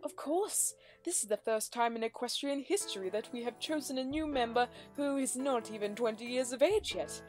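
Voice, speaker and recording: A woman's voice: a little high, normal speed, few pauses, lightly acted. Lady doing a posh-formal british pony coach, commanding, projecting, bit of music under it. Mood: welcoming. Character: authoritative British female pony flight coach.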